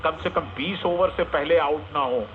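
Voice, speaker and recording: A man's voice: on the high side, moderate pace. A man giving emphatic live cricket commentary, repeating phrases for effect. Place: live sports commentary picked up on radio. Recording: noisy narrowband AM radio broadcast.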